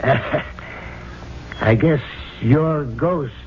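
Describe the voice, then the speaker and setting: A bewildered middle-aged father in an old radio drama. He speaks extremely slowly and haltingly, anxious and ponderous. The recording is thin and phone-like with faint hiss. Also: mid-range voice, a little mellow. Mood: rueful. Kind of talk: 1970s radio drama acting.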